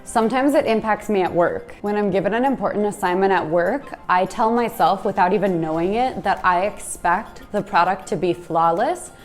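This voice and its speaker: A woman's voice: medium pitch, moderate pace, hardly any pauses, soft background music. A woman in her twenties from the United States, giving a candid, self-deprecating talk and telling stories from her own life. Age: 20s. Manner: candid, self-deprecating, storytelling with small laughs. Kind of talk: reflective personal monologue.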